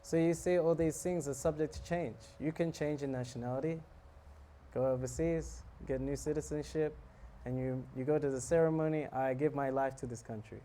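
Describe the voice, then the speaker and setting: Man, mellow voice, conversational sermon, joking, faint background noise. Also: fairly high pitch, fairly even intonation, moderate pace. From South Africa. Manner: conversational, joking, asks the audience questions. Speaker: monk giving a scripture talk. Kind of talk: casual sermon to a live audience.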